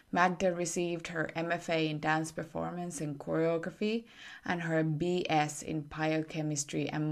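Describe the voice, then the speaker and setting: A woman with a very low voice introduces a podcast guest. Her tone is gravelly, and she reads in a measured, formal way. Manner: measured, formal read-out biography. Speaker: podcast host.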